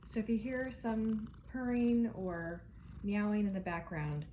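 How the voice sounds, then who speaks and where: A woman teacher reading a children's storybook aloud, with expressive delivery and dramatic emphasis. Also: medium pitch, measured pace, a little hiss or hum.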